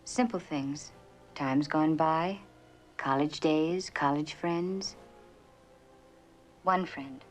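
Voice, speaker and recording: An actress playing a guarded, world-weary woman, terse and measured, in a vintage suspense drama. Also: sits low. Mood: wistful. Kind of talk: mid-20th-century suspense drama acting.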